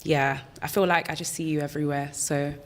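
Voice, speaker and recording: Hesitant young woman, lowish voice. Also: steady tone, a little fast. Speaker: dating show contestant.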